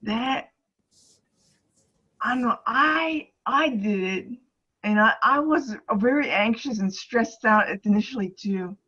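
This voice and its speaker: Slow, earnest woman on a call. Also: fairly high pitch, lively intonation, sharp tone, husky. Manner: halting, earnest, restarts often. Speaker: ministry facilitator. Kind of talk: encouraging group-call sharing. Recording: slightly dull lo-fi call audio.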